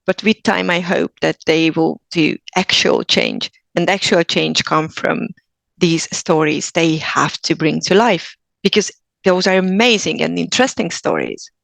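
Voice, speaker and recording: A woman's voice: on the low side, unhurried, creaky, no echo. A woman from Hungary with a Hungarian accent, a guest actor speaking earnestly in a casual podcast interview, often restarting her sentences. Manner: earnest, thoughtful, with frequent restarts.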